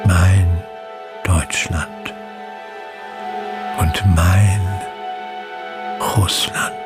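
An actor playing a bitter, grandiose old man brooding in a radio drama, halting as he speaks. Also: sits low, level tone. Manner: slow, halting, brooding delivery. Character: dying old man, bitter, grandiose, fallen war profiteer. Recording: loud ominous music behind.

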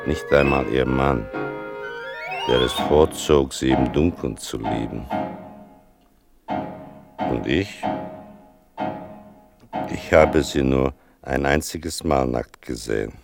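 An actor playing a grave son who narrates his mother's story in a radio drama, slow and solemn with a gravelly voice. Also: exaggerated voice. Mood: solemn, reflective. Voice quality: deep, gravelly. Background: music.

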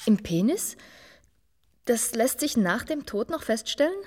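A frank, curious journalist in a radio drama. Her voice sits a little high and rings with reverb, over a faint layer of noise. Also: sing-song, a little slow. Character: curious, frank female magazine reporter.

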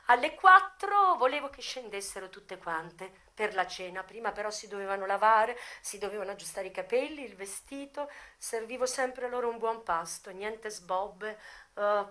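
A woman's voice: fairly high pitch, pushed and bright. An actress playing a brazen, worldly American brothel madam in a theatrical radio monologue, drawing out her words and savouring every list. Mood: confiding, matter-of-fact. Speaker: stage actress. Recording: music playing behind the voice.

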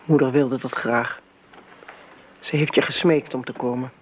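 An actress playing a devoted middle-aged daughter, earnest, coaxing and insistent in radio drama style. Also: female voice, very deep voice, fairly even intonation, measured pace. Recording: tinny, noisy old radio recording.

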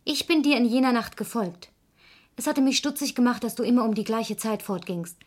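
Female lead, vintage crime radio play: deceived woman, highish voice, pointed and accusing, resolute. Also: expressive, a little fast. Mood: cool, reproachful. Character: resolute, reproachful woman confronting the man who deceived her.

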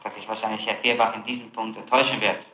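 A man's voice: on the low side, little pitch movement, quick pace, pushed and bright. Muffled old tape w/ room echo, guy prosecutor grilling someone, hard tense voice, pushy formal questions. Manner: pressing, confrontational questioning. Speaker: public prosecutor interrogating. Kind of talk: formal interrogation. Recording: dull, echoey, noisy 1960s archival tape.

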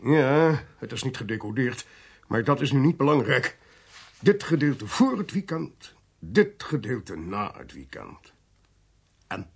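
An actor playing a blunt, commanding military intelligence chief in a radio drama, speaking in clipped, authoritative phrases. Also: male voice, mid-range voice, very animated, measured pace, creaky, husky, exaggerated voice. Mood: grave explaining. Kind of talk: Dutch radio drama acting.

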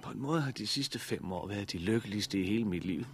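An actor in a 1960s radio drama playing a practical, plain-spoken husband and biologist surviving after a catastrophe. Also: male voice, on the low side, lively intonation, a little hiss or hum. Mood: wistful, surprised.

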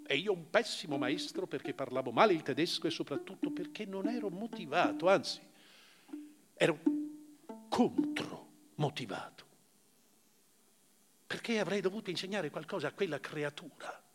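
An actor voicing a grave, reflective camp survivor in a theatrical literary stage reading, swelling in emphasis and dropping to hushed phrases. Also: male voice, on the high side, expressive, normal speed, pauses often, music in the background, close to an everyday voice. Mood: bitter, confessional. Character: male camp survivor recalling his ordeal, grave and reflective. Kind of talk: dramatic literary stage reading, first-person narration. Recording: long hall reverb of a theatre.

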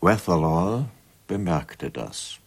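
An actor narrating a 1970s radio play as a grave, detached storyteller, husky and sober. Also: male voice, sits low, lively intonation, full character voice. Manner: slow, sober.

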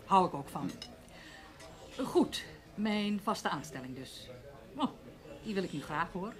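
A woman's voice: lowish voice, lively intonation, slow. An actress playing an eager, evasive woman journalist in a Dutch radio play, hesitant and flustered. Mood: chatty, insistent.

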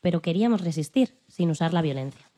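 A woman playing a dignified elderly female civil rights activist who recalls her struggle in a calm, earnest, plain-spoken way, as scripted interview storytelling. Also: fairly low pitch, fast. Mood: earnest, resolute. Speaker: podcast guest in a dramatized interview. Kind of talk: scripted first-person storytelling in interview form.

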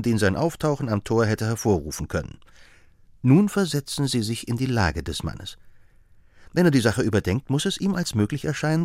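A man voicing a sharp-witted detective who lays out his reasoning in a brisk, assured way. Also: mid-range voice, no echo, clean studio sound. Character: sharp-witted male detective, confident and explaining.

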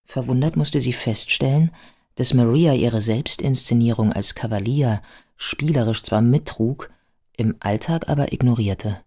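A man narrating a scripted biographical radio play in a measured, scholarly manner. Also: mid-range voice, a little mellow.

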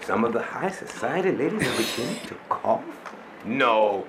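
A man playing an excitable radio reporter who gives theatrical live commentary in English from a society gala. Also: medium pitch, moderate pace, lightly acted. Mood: excited suspense. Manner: theatrical, dramatic emphasis. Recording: loud crowd noise behind. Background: crowd.

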